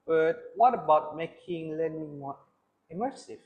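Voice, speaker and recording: A man's voice: a little high, a little slow, phone-quality sound. A young man moderates a student panel discussion, politely asking questions and thanking the speakers.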